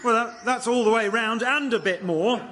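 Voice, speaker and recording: An actor playing a sceptical, exasperated birdwatcher in a radio sitcom. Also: male voice, very high voice, normal speed, sharp tone. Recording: very noisy audience recording.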